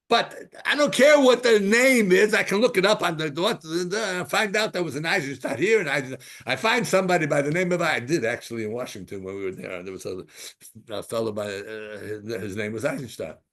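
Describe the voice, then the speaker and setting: A man's voice: a little high, animated, normal speed, a bit bright. A man giving an informal Torah class, asking rhetorical questions and mixing in Hebrew terms as he drifts into side stories. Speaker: Torah teacher.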